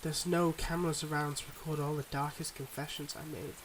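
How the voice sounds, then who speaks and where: A man playing a suave, secretive mob boss boyfriend in an intimate ASMR roleplay, talking smoothly and teasingly to the listener. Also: a little high, little pitch movement, fairly quick, background noise, lightly acted. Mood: confiding, teasing.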